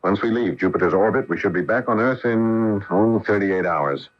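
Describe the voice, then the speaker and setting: A deep, husky voice on a dull old radio recording: an actor as a grave middle-aged astronaut husband. He is deliberate, earnest and dramatic in the manner of a radio play. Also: natural voice. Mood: earnest, explaining. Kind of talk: 1980 radio drama acting. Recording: muffled old AM radio broadcast.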